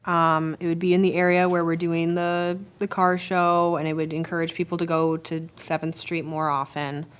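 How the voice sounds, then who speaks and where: A woman with a somewhat low voice speaks up in a council chamber, hedging as she picks her priorities. Her tone is tentative and she pauses on fillers. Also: level tone, clean tone. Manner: hesitant, hedges with "I would say". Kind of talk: public meeting discussion.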